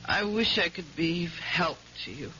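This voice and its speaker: An actress playing a gravely ill, gentle wife in an old-time radio drama, drowsy and languid. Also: female voice, fairly low pitch, lively intonation, normal speed. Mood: weary, tender. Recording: muffled, noisy vintage radio recording.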